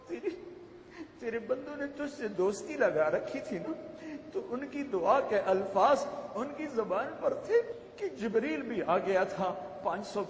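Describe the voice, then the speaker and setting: A man's voice: high-pitched, a little hiss or hum. A man leading a fervent, pleading Ramadan supplication in a mosque, repeating his invocations. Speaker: prayer leader. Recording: echoey hall with faint ambience.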